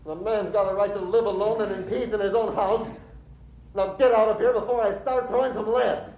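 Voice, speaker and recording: Gruff, hostile old hermit, high-pitched and creaky, old radio drama with echo and noise. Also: male voice, fairly even intonation, a little fast. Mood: hostile, threatening. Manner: gruff, threatening. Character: hostile gun-toting old hermit guarding his shack. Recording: echoey, muffled, noisy old radio recording.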